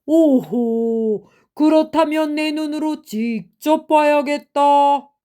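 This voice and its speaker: A woman voicing a vain, gullible emperor in a storybook, with a stately, commanding, drawn-out delivery. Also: sits high, mellow, pitched above the performer's own voice. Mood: pompous, commanding.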